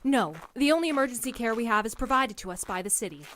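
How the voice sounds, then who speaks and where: An actress playing an assured, gracious and commanding town mayor in an audio drama, speaking with poised formality. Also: female voice, fairly high pitch, lively intonation, fast, fairly bright tone, a little hiss or hum.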